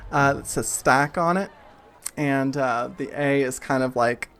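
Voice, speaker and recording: A man narrating a tabletop game as game master, casually and haltingly, searching for his words. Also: mid-range voice, fairly bright tone, slight background noise.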